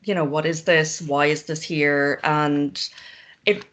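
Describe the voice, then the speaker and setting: A woman's voice: deep voice, steady tone, slow pace, a bit bright. An Irish woman chatting as a guest, rambling and self-deprecating, laughing often between thoughts. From Ireland. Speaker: guest zine maker. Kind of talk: casual video-call interview.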